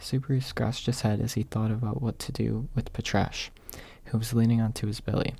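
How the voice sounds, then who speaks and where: A man narrating an ASMR audiobook in a hushed, husky voice, close to the microphone. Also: lowish voice, dry recording. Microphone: close microphone, dry.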